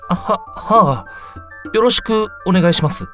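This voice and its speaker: A man plays a timid teenage newcomer in an audio drama. He sounds bewildered and hesitant, stammering with wide swings of pitch over background music. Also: animated, a little slow, fairly bright tone. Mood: polite, unsure. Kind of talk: amateur voice drama acting. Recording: music under the voice.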